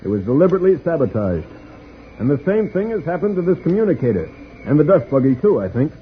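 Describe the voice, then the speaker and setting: An actor playing a calm, protective space-adventure hero, speaking earnestly in a 1950s radio serial. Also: male voice, on the high side, fast talker. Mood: grave, steady. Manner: earnest, explanatory. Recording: tinny, hissy old radio recording.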